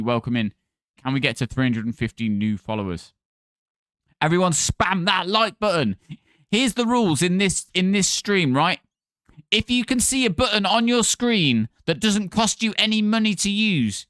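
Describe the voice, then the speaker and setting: A man's voice: mid-range voice, a bit bright, clean tone, dry recording, clean studio sound. Chatty Scottish man streaming. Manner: chatty, thanks viewers rapid-fire, jokey. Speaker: live streamer. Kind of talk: casual live-stream chat.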